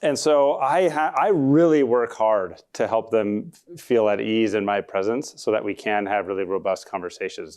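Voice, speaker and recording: A man with a deep voice, a law professor answering audience questions at a talk in an earnest, conversational way that often backs up and corrects itself. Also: a little mellow. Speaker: law professor, panel speaker.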